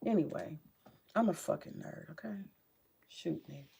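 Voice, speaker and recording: A woman with a deep morning voice chatting casually about her books, rambling, swearing freely and muttering to herself as she searches her shelves. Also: expressive, measured pace, creaky, mellow, slight background noise. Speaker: vlogger sharing her book collection. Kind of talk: casual home vlog chat.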